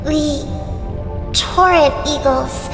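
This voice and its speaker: A woman's voice: high voice, very slow, creaky, full character voice. A woman voicing a sweet, innocent little sister in a video game story, with a childlike, tender way of talking. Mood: wondering, concerned. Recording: echoey reverb under loud music.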